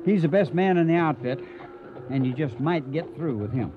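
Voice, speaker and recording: An actor playing a firm, cautious cattle trail boss in a western radio drama. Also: male voice, on the high side, animated, moderate pace, over music, natural voice. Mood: firm, worried. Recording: noisy, muffled old radio recording.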